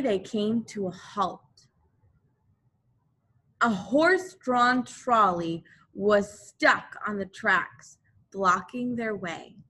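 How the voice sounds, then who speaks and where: A woman teacher reading a children's storybook aloud expressively and deliberately, pausing to explain things to young listeners. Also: medium pitch, pushed and bright, husky. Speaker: elementary school teacher.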